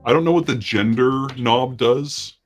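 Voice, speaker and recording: A middle-aged man chatting casually as a livestream host, his voice run through a modulator that pitches it down. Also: measured pace, faint music behind. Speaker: charity stream host. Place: indoor room, livestream from a seat.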